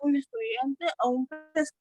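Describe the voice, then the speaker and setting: A sixteen-year-old Mexican girl with a Mexican accent, speaking earnestly at a youth colloquium, often pausing to search for words and restarting her sentences. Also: sits high, darkish tone, faint music behind. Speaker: essay contest participant. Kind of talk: unscripted talk at a youth colloquium.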